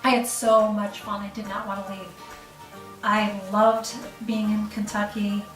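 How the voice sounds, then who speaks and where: A woman's voice: mid-range voice, level tone, a little slow, far from the mic. Chatty middle-aged Midwestern woman, casual to-camera talk, roomy off-mic sound. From Chicago, US. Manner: chatty, rambling with fillers. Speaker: vlogger. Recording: roomy room, off the mic, faint music.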